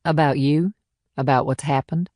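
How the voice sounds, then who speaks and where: A man's voice: a little high, steady tone, moderate pace, darkish tone, no room sound, studio quality, natural voice. A man voicing a plain-spoken, wry and weary small-town policewoman in an audiobook, talking in clipped, folksy sentences. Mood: matter-of-fact. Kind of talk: audiobook character dialogue.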